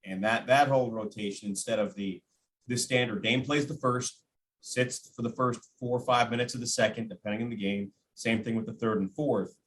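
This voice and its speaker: A man who works as a sports announcer, giving conversational analysis as a podcast guest in a measured, explanatory way. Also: mid-range voice, steady tone, a bit bright, a little hiss or hum, poor audio.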